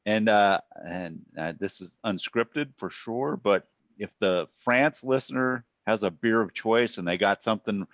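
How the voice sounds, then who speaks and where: A man co-hosting a podcast, chatting casually over beers in a relaxed way and laughing often. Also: lowish voice, measured pace, no echo. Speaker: podcast co-host. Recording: dry, dull lo-fi sound.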